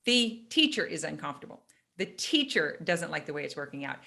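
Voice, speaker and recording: A middle-aged woman speaking as a coach on a podcast, her voice bright and forward. She talks emphatically in quick bursts and often restarts her sentences. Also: sits low, sing-song, gravelly. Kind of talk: casual podcast conversation.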